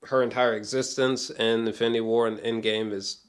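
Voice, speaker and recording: A man's voice: mid-range voice, little pitch movement, normal speed. A man giving a casual, rambling film review with geeky, awed enthusiasm, often hesitating, trailing off and swearing casually.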